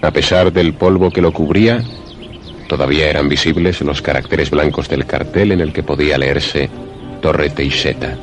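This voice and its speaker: A man with an extremely deep voice narrates a suspense radio play. His delivery is measured and theatrical, with clearly audible music behind him. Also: fairly even intonation.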